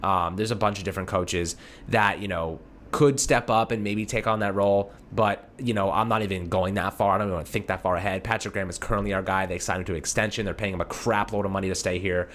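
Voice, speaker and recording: A man's voice: low-pitched, fairly even intonation, fast talker, a bit bright, a little hiss or hum. A man hosting a sports show, giving casual fan commentary in an informal, conversational way, talking to callers like friends.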